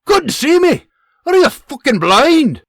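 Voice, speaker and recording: A man voicing an angry, bullying young soldier who snarls and shouts at a boy. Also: extremely high pitch, big pitch swings, unhurried, creaky, voice pushed up, exaggerated voice. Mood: snarling. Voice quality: snarling, hostile.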